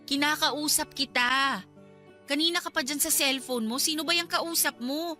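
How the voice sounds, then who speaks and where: Bright, high-pitched hurt wife. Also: female voice, normal speed, soft background music. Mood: irritated, suspicious. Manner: nagging, emotional, pleading. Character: hurt, jealous young wife confronting her husband. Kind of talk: Tagalog radio drama acting.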